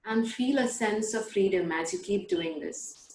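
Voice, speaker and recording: A woman guiding a breathing and letting-go meditation, giving slow, soothing instructions with long pauses. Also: mid-range voice, a little mellow, a little hiss or hum. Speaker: meditation guide. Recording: echoey room.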